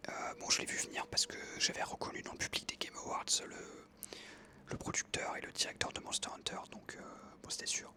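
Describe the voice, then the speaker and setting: Whispering ASMR creator, bright and breathy. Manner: whispering throughout, hesitant pauses with frequent self-corrections. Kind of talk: whispered ASMR game commentary.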